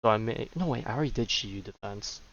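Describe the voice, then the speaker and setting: Low-voiced man streaming games casually. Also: fast talker, a bit bright, slight background noise. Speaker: game streamer. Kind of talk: casual livestream commentary. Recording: slightly dull, lo-fi mic. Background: game music.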